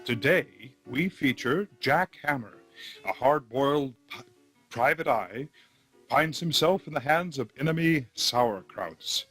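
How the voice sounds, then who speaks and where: Male radio host, scripted introduction, mid-pitched, faint guitar backing. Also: animated, slow, fairly bright tone. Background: faint guitar music.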